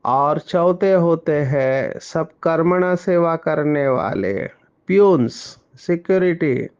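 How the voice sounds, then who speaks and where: A man delivers a spiritual discourse at a measured, very slow pace. His voice sits a little high for a man and rings smooth and clear. The recording sounds slightly muffled. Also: darkish tone. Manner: slow, explanatory, mixing in English words. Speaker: spiritual teacher.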